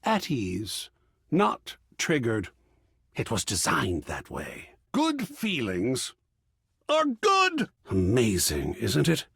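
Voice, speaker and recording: A man voicing an excitable, cultured art curator character in a video game, theatrical and erudite, with precise diction and a husky, breathy voice. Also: mid-range voice, takes it slowly, no room sound, clean studio sound, full character voice. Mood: musing, lecturing, then earnest, pleased. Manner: effusive, erudite, precisely enunciated, dramatic. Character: excitable, cultured art object come to life, gallery curator.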